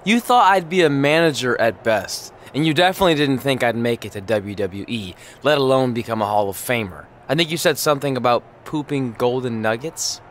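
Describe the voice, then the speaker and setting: An actor voicing a plain-spoken male pro wrestler in a game's story scene. His voice is mid-pitched and sincere, with some noise in the background. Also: expressive, fairly quick, few pauses, natural voice. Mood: incredulous. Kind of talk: video game cutscene acting.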